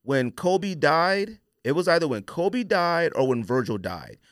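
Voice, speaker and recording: A man's voice: a little high, very animated, a little slow, a bit bright, dry recording, clean studio sound. A man hosting a casual podcast, giving a blunt, emphatic, opinionated rant peppered with rhetorical questions. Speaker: podcast host.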